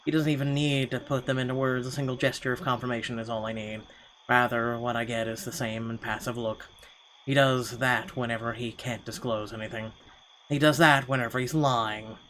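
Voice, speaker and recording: A man's voice: medium pitch, fairly even intonation, a little fast, a bit bright, poor audio. A man reading first-person narration from a game aloud in a dramatic storytelling style. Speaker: gaming channel host reading a visual novel aloud.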